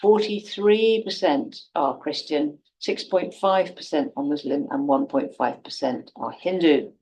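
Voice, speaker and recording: A woman's voice: low voice, darkish tone, a little hiss or hum, poor audio. Woman teaching an online class. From Britain. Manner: clear, measured, poses quiz questions to the viewer. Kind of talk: online class introduction video.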